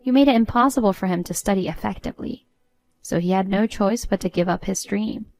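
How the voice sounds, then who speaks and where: A woman's voice: medium pitch, brisk, gravelly, darkish tone, no room sound, close to an everyday voice. A woman playing a defiant, sarcastic adult daughter-in-law in a story, composed and matter-of-fact. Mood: firm.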